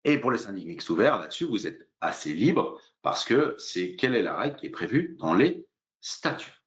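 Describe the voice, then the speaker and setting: Un homme qui fait une présentation juridique explicative, semi-improvisée, de façon didactique, pas à pas, avec beaucoup d'hésitations. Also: male voice, fairly low pitch, sing-song, normal speed, lo-fi sound. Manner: hesitant, didactic, step-by-step with frequent fillers. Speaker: lawyer presenting a legal webinar. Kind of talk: explanatory legal presentation, semi-improvised.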